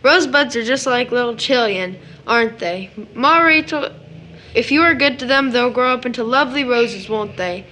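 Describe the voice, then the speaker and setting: Bright-voiced, earnest little boy. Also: fairly high pitch, moderate pace, slight background noise, low-quality recording. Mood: innocent, curious. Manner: childlike, questioning, earnest. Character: sensitive, trusting seven-year-old boy, hurt but brave. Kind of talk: audio drama acting.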